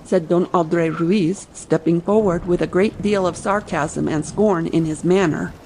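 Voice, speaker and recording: Lower-pitched woman narrating an audiobook. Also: steady tone, a little slow, a little mellow, a little hiss or hum, low-quality recording. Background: faint ambient sea sound.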